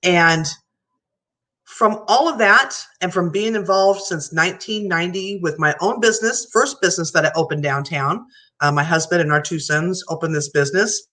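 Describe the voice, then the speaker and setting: A middle-aged American woman speaks as a candidate at a public forum, telling her own story in a chatty way with lively asides. Part of it she reads aloud. Her voice is bright and forward. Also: lowish voice, moderate pace. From United States. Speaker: mayoral candidate. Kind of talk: candidate forum speech, partly read.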